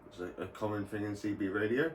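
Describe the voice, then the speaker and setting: A low-voiced British man hosts an informal explainer video, talking in a loose, rambling way. He sounds set back from the microphone in an echoey room. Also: fast. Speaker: radio hobbyist video host. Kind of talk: casual explainer vlog. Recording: roomy, distant mic, faint background noise.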